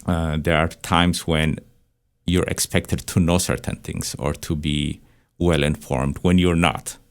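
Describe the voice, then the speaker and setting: Very deep-voiced man. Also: little pitch movement, slow pace, clear, resonant tone. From Ethiopia. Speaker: church counseling director and therapist, panel guest. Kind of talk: reflective conversation.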